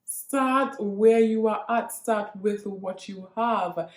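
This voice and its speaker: A Trinidadian woman talks straight to her viewers in a slow, earnest, reflective way. She often stops and repeats herself as she works out what to say. Her voice carries some echo from the room. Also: medium pitch. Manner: earnest, halting, with frequent restarts and repeats. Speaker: vlogger. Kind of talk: reflective personal monologue to viewers. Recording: echoey room.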